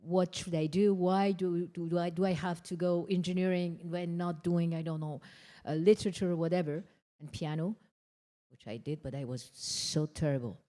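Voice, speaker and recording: An older woman speaks on a conference panel in a mellow, fairly low voice. She talks earnestly and haltingly, pausing to search for her words. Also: fairly even intonation. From Iran. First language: Persian. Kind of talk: panel discussion remarks.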